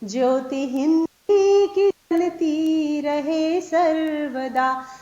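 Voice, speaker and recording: A woman's voice: high voice, extremely slow, dark tone, echoey room, muffled recording, faint music behind. A woman poet singing her verses at a poetry recital in a melodious, tuneful voice.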